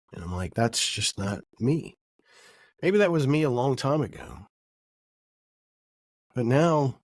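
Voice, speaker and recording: A middle-aged podcast host sounds stuffy and nasal from a cold. He muses aloud in a loose, wandering way, doubling back on his words. His voice sits at an ordinary pitch in a dry, close recording. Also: a little mellow, no echo. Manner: reflective, rambling, self-correcting. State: has a cold. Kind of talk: casual podcast monologue.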